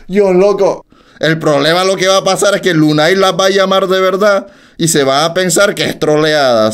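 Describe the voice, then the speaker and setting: A man in his thirties chatting casually on a live stream, excitable and slangy, often repeating himself. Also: sits high, smooth. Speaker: streamer, prank caller.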